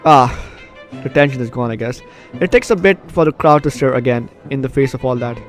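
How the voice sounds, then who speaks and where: A man's voice: mid-range voice, fast talker. Male gamer reading over music. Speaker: gaming video host. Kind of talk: casual let's play commentary, reading game text aloud. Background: game music.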